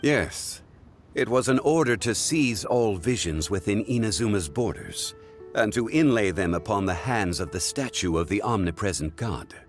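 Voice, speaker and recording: An actor playing a calm, dignified ancient god living as a mortal in a game, speaking in a measured, formal and reflective manner. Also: male voice, on the low side, natural voice. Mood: grave, explanatory. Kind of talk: scripted game cutscene voice acting. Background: soft game music.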